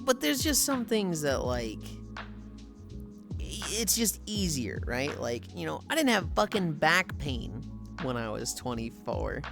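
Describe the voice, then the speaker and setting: A woman in her thirties chatting on a casual livestream, swearing freely and laughing through animated rants while she exercises. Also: deep voice, a little slow, creaky, over music. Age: 30s. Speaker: streamer.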